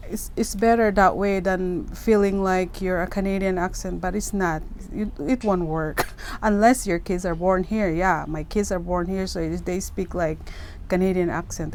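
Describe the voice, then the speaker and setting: A woman's voice: medium pitch, a little mellow, slight background noise. A middle-aged woman from the Philippines with a Filipino accent, giving casual advice to camera in an earnest way, often checking in with "okay?". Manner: earnest, repeats herself, keeps asking "okay?".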